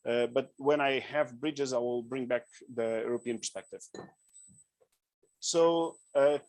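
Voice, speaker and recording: A man's voice: mid-range voice, fairly even intonation, a little slow, a little mellow, no room sound. A Portuguese man with a Portuguese accent giving an informal conference presentation, joking at his own expense and using many fillers. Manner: informal, self-deprecating, with frequent fillers and restarts. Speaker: conference speaker. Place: conference room.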